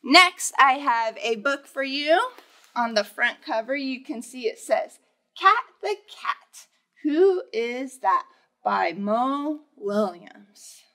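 A young American woman, a children's librarian, leading storytime in an animated, encouraging way, talking directly to small children. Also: high-pitched, takes it slowly. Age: young adult. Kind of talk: children's storytime presentation and read-aloud. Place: indoors in a library, seated before bookshelves.

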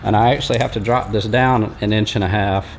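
A man in his forties giving a relaxed, folksy step-by-step how-to walkthrough in a large metal workshop, talking close to a handheld camera. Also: lowish voice, fast talker. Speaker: fishing channel host. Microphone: handheld or head-mounted camera close up.

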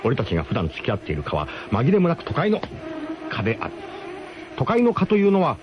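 A man's voice: medium pitch, wildly swooping pitch, a little fast. A man narrating a radio drama as comic first-person storytelling, in an emphatic, mock-grandiose manner. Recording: muffled radio broadcast with clear background noise.

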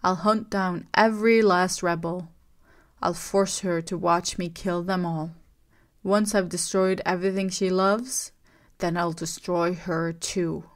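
A cold young male villain issues orders in a calm, flat, menacing tone, as a woman reader puts him on. His threats come out even and detached, as if nothing matters, in a storybook reading. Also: on the low side, moderate pace, lightly acted.

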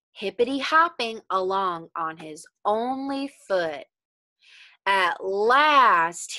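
A young adult woman teacher reading a story aloud to children and explaining it with simple, deliberate questions. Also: mid-range voice, bright, forceful tone. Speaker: elementary school teacher.

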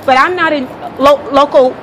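A woman's voice: sits high, fairly even intonation, moderate pace, fairly bright tone. An American woman, a local resident, arguing and negotiating insistently on the street, often repeating herself and interrupting. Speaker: local resident confronting a protester. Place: outdoors on a city street beside traffic. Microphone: handheld phone camera. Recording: noisy outdoor street, phone recording.

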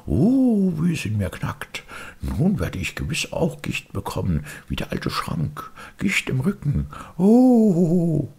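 A man voicing creaky old talking furniture in a storybook, in a strained, complaining falsetto. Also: huge pitch swings, slow pace, mellow, heavily acted. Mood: groaning.

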